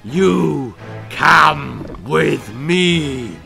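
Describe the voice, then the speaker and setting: Slow, roaring vengeful skeleton demon. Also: male voice, on the high side, expressive, creaky, music in the background, heavily acted. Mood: menacing, roaring.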